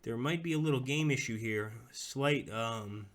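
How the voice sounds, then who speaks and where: A young man streams a strategy game and rambles as he plays, greeting viewers and talking through each move. His voice sits at an ordinary middle pitch, and the talk is loose and unscripted. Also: unhurried, fairly bright tone. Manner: rambling, chats with viewers, talks through moves. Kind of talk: casual live-stream game commentary.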